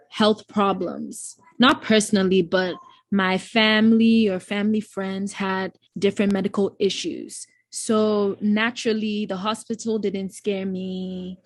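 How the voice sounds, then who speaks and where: A woman's voice: mid-range voice, no echo. Ghanaian woman in her twenties, dry sound. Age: 20s. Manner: relaxed and reflective, frequent "um" and "you know". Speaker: guest, incoming medical student. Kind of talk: casual interview chat.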